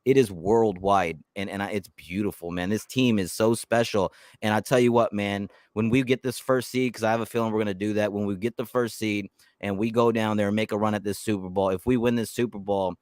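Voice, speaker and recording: A man hosting a casual live stream about his football team, talking in animated bursts and often repeating words as he restarts. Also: lowish voice, brisk, dry recording, clean studio sound. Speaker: YouTube channel host.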